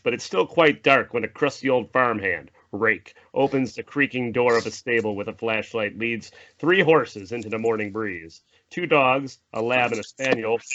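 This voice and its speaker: A man's voice: mid-range voice, fairly bright tone. Man narrating a screenplay, slightly dull sound. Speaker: stage-direction narrator. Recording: dull audio, faint noise and clicks.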